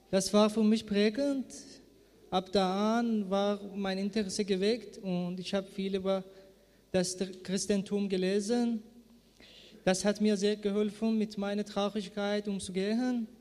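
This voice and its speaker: A man's voice: sits high, a little mellow. A man in his twenties from Iran giving an earnest, prepared testimony before his baptism. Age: 20s. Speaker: baptism candidate. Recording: long church reverb.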